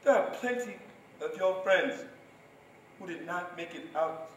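A man's voice: high-pitched, expressive, a little slow. A young man performing a dramatic stage monologue as an anguished, protective seventeen-year-old Darfuri refugee, impassioned and pleading. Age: young adult. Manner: impassioned, pleading, swinging between cries and hushed grief. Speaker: student performer. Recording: roomy, distant stage recording, faint noise.

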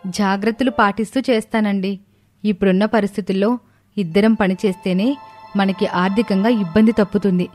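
A woman voicing a devoted, sensible young wife in an animated story, earnest and reassuring. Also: medium pitch, a little fast, faint music behind, lightly acted.

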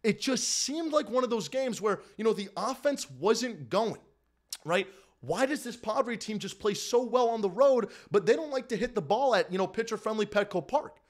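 Young guy with a higher voice, hyped recap stream, keeps saying everybody, desk mic at home. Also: lively intonation, moderate pace. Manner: hyped, repetitive, addresses chat as everybody. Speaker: sports fan streamer host. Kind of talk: live-stream postgame recap. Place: small room at home, indoors. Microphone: desk mic in front of the face.

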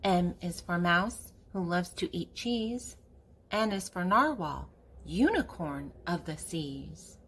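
An older woman reading a children's picture book aloud, speaking in simple, deliberate phrases for young listeners. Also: lowish voice, expressive, fairly bright tone, husky. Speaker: children's book reader and channel host.

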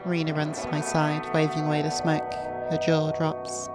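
Low, even-voiced woman narrator. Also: normal speed. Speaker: VTuber host reading a game aloud. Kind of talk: scripted first-person narration. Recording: music behind the voice.

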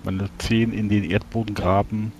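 Low-voiced, hesitant man at a game. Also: little pitch movement, normal speed, a little hiss or hum. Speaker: tabletop roleplaying player. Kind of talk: describing his character's actions in a game. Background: soft music.

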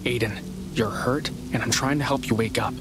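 A man's voice: mid-range voice, moderate pace, lightly acted. A male actor playing an earnest, frustrated young man pleading insistently with family in a video game drama. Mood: concerned. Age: young adult. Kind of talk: cinematic game drama acting. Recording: faint ambient noise behind the voice.

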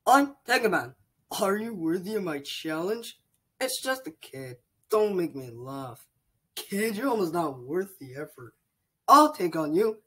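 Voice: medium pitch, sing-song, unhurried, a bit bright, breathy edge, heavily acted. A voice actor playing an arrogant male warrior robot who looks down on his challenger. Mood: haughty. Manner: haughty, scornful.

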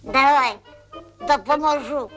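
Little cartoon owlet, very high childlike voice, unhurried, eager and curious, music behind. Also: sharp tone, heavily acted. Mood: eager, bossy. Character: small naive, curious young owlet, bold and helpful. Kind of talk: Soviet animated film dialogue. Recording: old film soundtrack with music.

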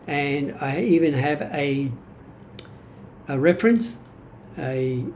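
An elderly man with an Australian accent speaks in a fairly high, soft-edged mellow voice. He talks reflectively and haltingly, often correcting himself. Some background noise sits behind him in a room at home. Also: lively intonation. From Greek-born, grew up in Australia. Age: 70s. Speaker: vlogger sharing personal history. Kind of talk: reflective monologue from notes. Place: small indoor room at home.

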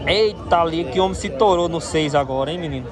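A man filming a dice game at an outdoor street market on his phone, commenting in an excited, chatty way and repeating his phrases. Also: on the high side, fairly quick. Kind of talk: casual play-by-play commentary. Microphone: phone held by the filmer. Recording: outdoor phone recording with crowd noise. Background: crowd chatter.

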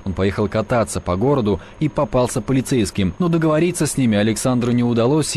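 A man narrating a scripted TV news report as the field reporter, measured and slightly ironic. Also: medium pitch, darkish tone, a little hiss or hum.

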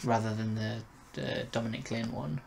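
A man's voice: mid-range voice, steady tone, moderate pace, gravelly, darkish tone. A man in his twenties hosting a casual review chat, sitting close to the mic in a small bedroom. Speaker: host of review show. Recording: noisy room ambience.

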